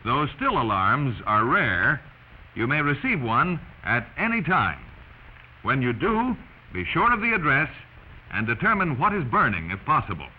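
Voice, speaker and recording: A man's voice: medium pitch, huge pitch swings, measured pace. An American man narrating a 1940s instructional film in an emphatic, instructive manner, addressing the listener directly. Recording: muffled, noisy 1940s film soundtrack.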